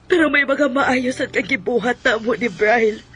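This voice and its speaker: High-voiced long-suffering Cebuano wife. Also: female voice, moderate pace, fairly bright tone, husky. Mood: tearful, forgiving. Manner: emotional, pleading. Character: long-suffering, forgiving abandoned wife and mother. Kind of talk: Bisaya radio drama acting.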